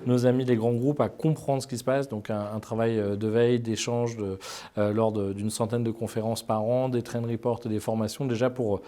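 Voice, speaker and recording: A middle-aged man with a mellow, darkish voice, speaking on a business panel. His manner is brisk and assured, and he talks with ease about the subject. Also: mid-range voice, little pitch movement. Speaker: think tank head. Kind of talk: panel discussion.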